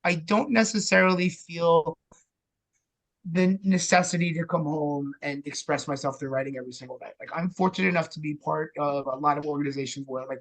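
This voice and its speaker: A man's voice: a little high, rough recording. Joking, conversational man podcast guest. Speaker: interview guest, playwright. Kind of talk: casual chat.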